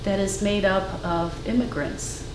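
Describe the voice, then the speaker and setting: An older woman, a guest artist whose first language was Ukrainian, talking in a thoughtful, measured way with many fillers. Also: medium pitch, fairly even intonation. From Cleveland, Ohio, US. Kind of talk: casual interview conversation. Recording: echoey room from far off, faint noise.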